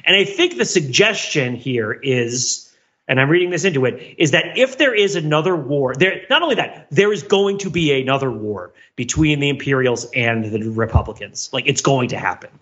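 A man's voice: mid-range voice, lively intonation, moderate pace, fairly bright tone, highs cut off. A man co-hosting a podcast in casual analytical discussion, thinking aloud in long runs and often checking in with "right?". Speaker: podcast co-host.

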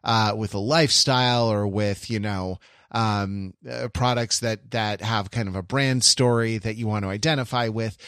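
A man talking casually as a podcast host, halting and rambling, often interrupting himself, with a wry, self-deprecating manner. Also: lowish voice, fairly bright tone, no echo, studio quality. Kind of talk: casual chat.

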